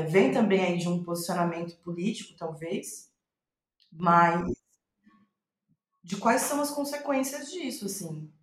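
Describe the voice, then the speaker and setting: Brazilian woman reflecting in a roomy echo. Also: mid-range voice. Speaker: guest playwright. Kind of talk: reflective interview conversation. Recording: roomy, echoing voice recording.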